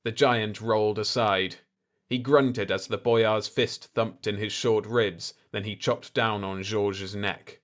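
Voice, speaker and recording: A man's voice: fairly low pitch, fairly even intonation, takes it slowly. A man reading a scripted fiction story aloud as an audiobook narrator.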